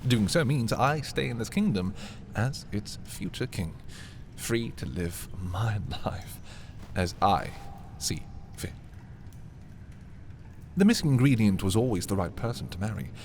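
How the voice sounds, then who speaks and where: A haughty young prince of dark fantasy audio drama, acted by a man, speaks in a fairly low voice with very animated, swinging intonation. He is possessive and scheming, delivering his words theatrically over a faint background noise. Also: measured pace, long pauses. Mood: smooth, scheming. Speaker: voice performer. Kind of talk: dramatic roleplay audio, ASMR fantasy monologue.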